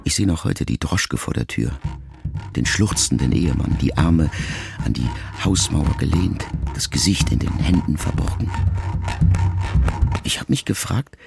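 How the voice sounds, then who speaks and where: A man reading a literary text aloud as an audiobook, in a measured, reflective way with long pauses. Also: a bass voice, gravelly. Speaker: audiobook reader. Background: soft music.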